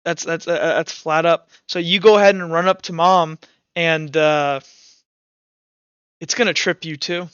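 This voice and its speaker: A man's voice: on the high side, fairly even intonation, measured pace, a bit bright, clean tone, dry recording, muffled recording. A man improvising narration as game master of a tabletop game, casually thinking aloud as he tallies dice rolls.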